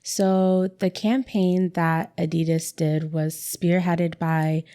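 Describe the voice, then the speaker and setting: Chatty young woman, low voice. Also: fairly even intonation, slow pace, warm tone, clear, resonant tone. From Canada. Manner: chatty, many "like" fillers and repeats. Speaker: interview guest, writer. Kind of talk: casual conversational interview.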